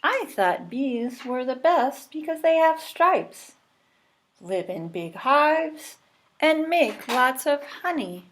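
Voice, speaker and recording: Woman voicing a slow, earnest little honeybee girl. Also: high voice, big pitch swings, noisy background, voice pushed up, full character voice. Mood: puzzled. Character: young honeybee girl, earnest, wondering, storybook character.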